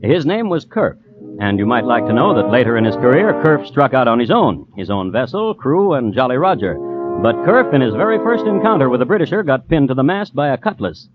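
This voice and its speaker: A man playing a droll, erudite connoisseur of crime, narrating deliberately and theatrically as host of an old radio series. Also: mid-range voice, big pitch swings, loud music behind the voice, close to an everyday voice. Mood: droll storytelling. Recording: muffled vintage radio recording.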